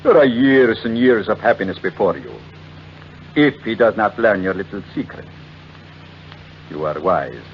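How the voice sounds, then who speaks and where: An actor playing a coolly polite, menacing blackmailer in 1940s radio mystery style, with oily, formal, deliberate phrasing. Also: male voice, lowish voice, expressive, lightly acted. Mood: threatening, mocking. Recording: muffled old radio recording with noisy hum.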